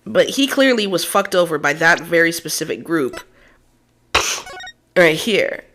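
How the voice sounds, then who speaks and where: A woman streamer chatting casually while playing a game live, a little hoarse and coughing as her voice wears out. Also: on the low side, animated, measured pace, a bit bright, a little hiss or hum. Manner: chatty, casual, thinking aloud. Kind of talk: live game playthrough commentary.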